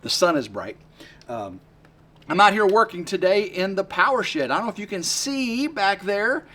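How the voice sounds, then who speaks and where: A man in his fifties rambling excitedly to camera outdoors by his work shed, full of fillers and restarts. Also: high-pitched, normal speed. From West Tennessee. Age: 50s. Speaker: homesteading vlogger. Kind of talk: rambling casual vlog to camera.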